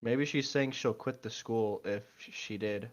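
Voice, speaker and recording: A man's voice: medium pitch, a little slow, muffled recording. A man co-hosting a podcast, making short remarks in casual banter. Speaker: podcast co-host.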